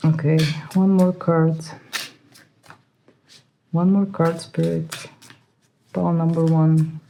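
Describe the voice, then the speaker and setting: A tarot reader who is not a native English speaker, hesitantly searching for words with many fillers and soft laughs. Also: medium pitch, flat delivery, slow pace, gravelly, a little hiss or hum, poor audio. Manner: soft laughs while talking. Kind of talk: pick-a-card tarot reading.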